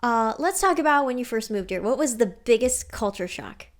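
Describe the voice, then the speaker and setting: A woman's voice: on the high side, expressive, normal speed. A woman in her twenties, from Korea, chatting casually as a podcast co-host. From Korea, moved to the US as a child. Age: 20s. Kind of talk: casual conversational podcast chat.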